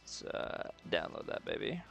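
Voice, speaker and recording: A man's voice: normal speed, fairly bright tone, soft background music. A man casually thinking aloud on a live creative stream, muttering to himself in a playful, profane way. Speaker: streamer doing 3D art.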